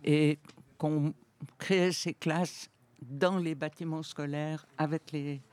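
A woman's voice: low-pitched, steady tone, slow pace, darkish tone. A woman of eighty, a guest on a radio interview, recalling her career thoughtfully with frequent hesitations and restarts. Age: 80s.

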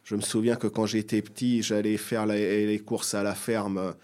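A middle-aged man from the Seine-et-Marne area of France speaks as a guest in an interview. His voice is fairly low and very even in pitch, and he feels his way through answers, doubling back on words. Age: 40s or 50s. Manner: hesitant, halting, repeating phrases. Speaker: guest writer. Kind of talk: interview answers, personal recollections.

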